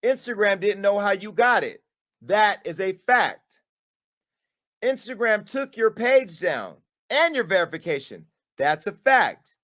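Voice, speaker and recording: A high-voiced man delivering a sassy callout. He swings between hushed, pointed asides and loud, punchy bursts, like a host laying out receipts for his audience. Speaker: media company owner and host. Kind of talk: on-camera callout monologue.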